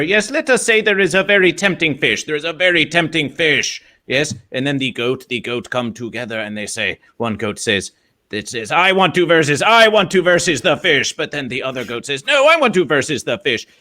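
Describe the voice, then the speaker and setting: A man's voice: fairly high pitch, very animated, moderate pace, sharp tone. A man voicing a quirky male mascot character who talks about himself in the third person, in stilted grammar with a constant "yes". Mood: storytelling. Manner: theatrical, swooping storytelling delivery. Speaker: guest. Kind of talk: in-character livestream chat.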